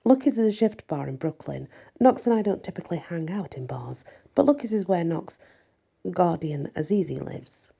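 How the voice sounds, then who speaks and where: Female ASMR story reader: very dark timbre, husky texture, first-person fantasy narration. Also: lowish voice, expressive, normal speed.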